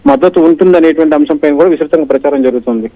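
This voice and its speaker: A male correspondent, giving a live news report in a rapid, flat run with many "aa" fillers. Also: a little high, no room sound. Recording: dry, dull radio-like line.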